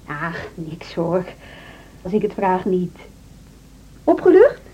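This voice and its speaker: A woman's voice: on the low side, very animated, slow pace. An actress in a Dutch radio drama playing a practical, kind woman who teaches piano. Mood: patient, caring. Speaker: actor. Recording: muffled recording with clear background noise.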